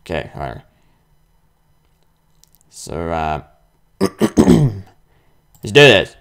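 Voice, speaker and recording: Young man mumbling game commentary, gravelly. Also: rumbling low, wildly swooping pitch. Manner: slow, mumbling asides that jump to loud yelps in fights. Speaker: gaming video host. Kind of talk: let's play commentary. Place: indoor room with webcam. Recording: faint game music behind. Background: faint game music.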